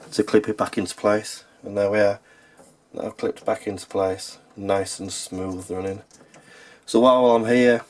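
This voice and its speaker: A man narrating an informal how-to as a repair technician, speaking matter-of-factly while he works with his hands. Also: lowish voice. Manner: matter-of-fact, talking while working with hands. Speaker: repair technician and video host. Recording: clearly noisy recording with clicks.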